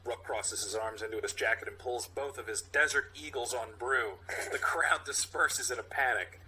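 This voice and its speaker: Casting: male comedy podcast host, average pitch, narrating a spoof drama with conversational asides. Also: normal speed, sharp tone, slight background noise, low-quality recording. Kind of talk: comic mock-dramatic script reading and banter.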